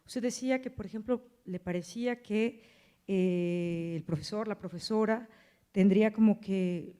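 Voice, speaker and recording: A woman with a soft-edged, dark voice of medium pitch speaks from the audience at a lecture. She is hesitant and rambling, circles back on herself, plays down her own question and often checks with little tag questions. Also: unhurried, clean tone. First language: Spanish. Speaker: audience member asking a question, language teacher.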